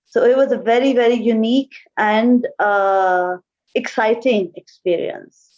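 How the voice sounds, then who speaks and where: A woman whose first language is Urdu speaks in a fairly high voice, answering interview questions over a call. She talks thoughtfully and often pauses with "uh". The audio is dull and lo-fi. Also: steady tone, darkish tone. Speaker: guest, marketing manager. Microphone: remote call.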